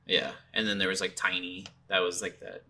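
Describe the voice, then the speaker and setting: A man's voice: low-pitched, fairly quick, pushed and bright. A man co-hosting a podcast in a casual chat reviewing video games, with noise in the recording. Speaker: podcast co-host. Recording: clearly audible background noise.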